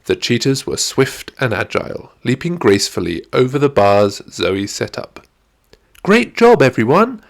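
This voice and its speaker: A man's voice: on the low side, normal speed, gravelly, few pauses. A man reading a scripted children's story as an audiobook narrator.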